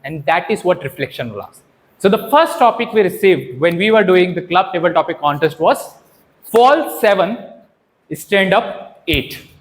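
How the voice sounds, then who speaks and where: A man with an Indian accent speaks as a workshop trainer in a high, very lively voice that swings up and down. He stresses key phrases theatrically, pausing deliberately and putting questions to his listeners. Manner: theatrical, emphatic, rhetorical questions and deliberate pauses. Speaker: public speaking trainer at a Toastmasters session. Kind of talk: live workshop talk, impromptu teaching with personal anecdotes.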